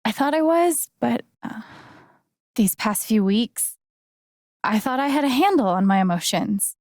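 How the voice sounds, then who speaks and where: A woman playing a sincere, gently wry young game heroine, with an American accent and an understated, conversational delivery. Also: a little high, lively intonation, a little slow, no room sound, studio quality. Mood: regretful, shaken. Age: young adult. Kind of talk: naturalistic video game voice acting.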